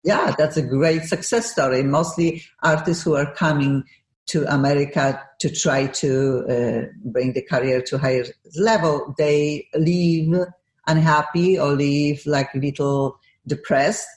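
A woman's voice: low-pitched, fairly even intonation, unhurried, darkish tone, roomy sound. A woman from Poland with a Polish accent hosting a radio interview, talking effusively with some broken English. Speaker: radio show host. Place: radio studio.

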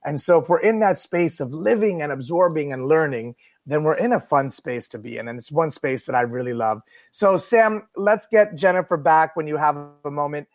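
Higher-voiced man hosting a talk show. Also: moderate pace, no room sound, clean studio sound. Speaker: radio talk show host. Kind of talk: casual unscripted show chat to listeners.

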